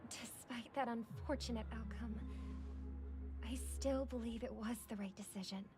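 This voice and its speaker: A woman playing an earnest, principled young sister in a video game, speaking in a measured and articulate way. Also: a little high, fairly even intonation, close to an everyday voice. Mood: wistful. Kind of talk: dramatic video game dialogue. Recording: music behind the voice.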